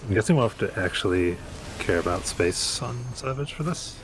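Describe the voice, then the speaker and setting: A man's voice: on the low side, expressive, moderate pace, background noise. A man chatting casually as a teammate during an online game raid. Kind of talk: casual gaming chat. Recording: game music clearly behind the voice.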